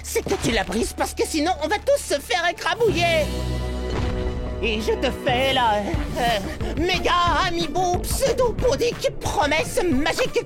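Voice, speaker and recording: A woman voicing a brainy, anxious preteen boy amoeba in a cartoon, explaining things pedantically and excitably. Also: extremely high pitch, a little slow, exaggerated voice. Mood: exasperated. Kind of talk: animated cartoon dubbing. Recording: loud music underneath. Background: loud music.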